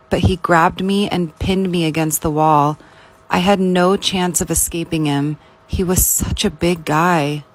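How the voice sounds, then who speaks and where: Slow, lower-voiced woman narrating plainly. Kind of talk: scripted narration of a read-out confession.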